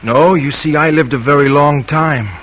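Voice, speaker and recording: An actor playing an earnest, protective grown son in an old-time radio drama, speaking formally. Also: male voice, a little high, moderate pace, a bit bright. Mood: reflective. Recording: vintage radio recording.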